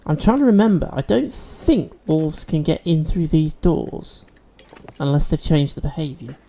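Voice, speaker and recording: Gaming host, male, mellow tone, sniffly, chatty walkthrough delivery. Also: fairly high pitch, lively intonation, normal speed, vocal fry. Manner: chatty, explains game mechanics as he plays. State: sniffly from allergies. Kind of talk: let's-play commentary.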